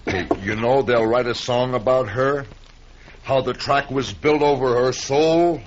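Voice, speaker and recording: An actor playing a boozy, careless railroad surveyor in a 1950s radio western. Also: male voice, mid-range voice, steady tone, unhurried, lightly acted. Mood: tipsy, jovial. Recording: dull, faintly noisy 1950s radio recording.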